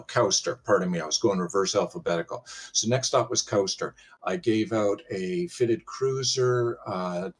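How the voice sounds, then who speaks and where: An older man with a deep, somewhat husky voice talks casually as a guest on a show. He rambles from point to point, poking fun at himself with dry humor. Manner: rambling, self-deprecating, wry humor. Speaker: pitching guest on a game panel show. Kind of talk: casual chat.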